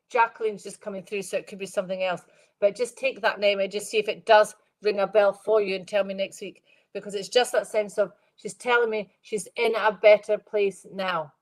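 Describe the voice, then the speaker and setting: A middle-aged woman with a stuffed-up, congested nose gives a psychic reading on a live stream. She talks gently and meanders, circles back on her own phrases, and calls out viewers by name as she goes. Her pitch sits in the middle of the range. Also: low-quality recording. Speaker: medium giving live readings.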